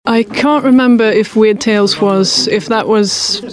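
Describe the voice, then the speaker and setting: A young adult woman from Sweden with a Swedish accent, a native Swedish speaker, answering interview questions in a thoughtful, halting, self-deprecating way at a convention. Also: mid-range voice, fairly even intonation. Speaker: author guest. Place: convention venue. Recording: chatter of a convention crowd behind.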